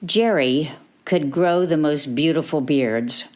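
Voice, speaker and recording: Older woman, very low voice, fond measured recollection read aloud. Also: a little mellow. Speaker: guest reader. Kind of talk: read personal memory.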